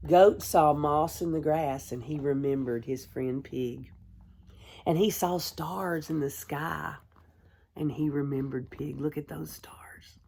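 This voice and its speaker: A woman, a kindergarten teacher, reading a storybook aloud to young children in a gentle, expressive way. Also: deep voice, vocal fry, a little hiss or hum. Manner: gentle, hushed, expressive storytelling with deliberate pauses; addresses young listeners with simple questions.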